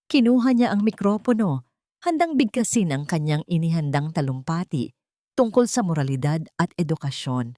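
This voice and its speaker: A woman reading a scripted dramatic story as an audiobook narrator, in a measured storytelling manner. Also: lowish voice, a little mellow, no echo, clean studio sound.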